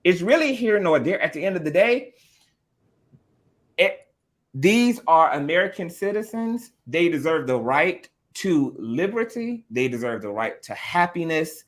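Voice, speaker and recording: A man's voice: a little high, animated, moderate pace. A man hosting a podcast discussion, speaking with passion, often repeating words and swearing casually.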